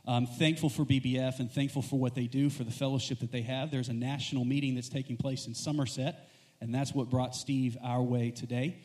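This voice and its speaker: A man introducing a guest speaker from the pulpit as pastor, halting at times. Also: mid-range voice, steady tone, warm tone.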